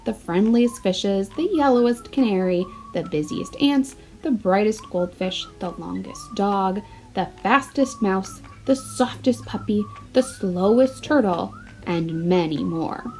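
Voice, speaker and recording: A woman's voice: medium pitch, big pitch swings, a little slow, hardly any pauses, warm tone, soft background music, poor audio. A woman, a first grade teacher, reading a picture book expressively and putting questions directly to young children.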